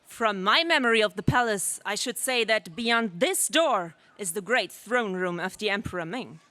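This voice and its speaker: Woman as a bright-voiced, fierce lion warrior queen. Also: mid-range voice, animated, moderate pace, no echo. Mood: grave. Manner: grand, declamatory, archaic speech. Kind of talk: 1930s radio serial acting.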